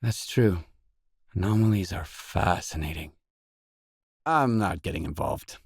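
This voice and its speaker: A male actor playing a weary, tough, guarded stalker mercenary in a video game, speaking in blunt, deadpan lines. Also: low-pitched, measured pace, creaky, husky, no room sound, clean studio sound, heavily acted. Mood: matter-of-fact. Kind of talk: video game voice acting, isolated dialogue lines.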